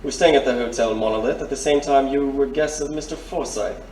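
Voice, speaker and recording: A man's voice: medium pitch, fast talker, a little mellow, natural voice. A man playing a courteous, shrewd detective major in an audio drama, speaking formally and with polite insinuation. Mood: probing, suave. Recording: roomy, distant mic, faint noise.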